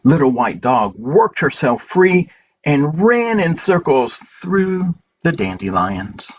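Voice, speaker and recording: Male storytime reader, unhurried and simple for little kids, on a dull home recording with some background noise. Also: a little high, sing-song. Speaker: library storytime host. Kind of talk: children's storytime read-aloud with singing. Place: at home, indoors.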